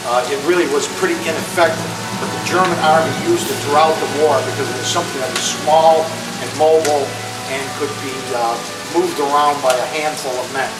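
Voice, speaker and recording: A man's voice: a little high, steady tone, a little fast. A middle-aged man gives an informal demonstration talk to a crowd at an outdoor reenactment. He explains plainly and often says "okay" and "uh". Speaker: living history reenactor presenter. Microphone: camera far from the speaker. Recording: distant echoey outdoor recording, loud music.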